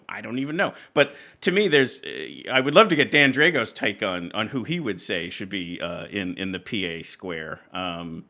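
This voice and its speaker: A man's voice: fairly low pitch, sharp tone. A man hosting a casual chat podcast, talking in a rambling, hesitant way and often interrupting himself. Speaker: podcast host.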